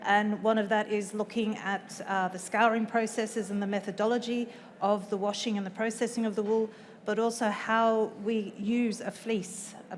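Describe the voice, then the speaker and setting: An Australian woman introducing herself on a conference panel, speaking haltingly and correcting herself. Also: mid-range voice. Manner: halting, self-correcting speech. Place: conference hall. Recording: long hall reverb.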